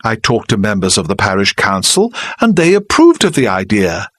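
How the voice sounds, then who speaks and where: A man's voice: fairly low pitch, huge pitch swings, normal speed, slightly rough, no echo, heavily acted. A man reading aloud, voicing a flustered, apologetic older village woman with a southern English middle-class accent. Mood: earnest, pleading. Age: 60s. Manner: earnest, pleading.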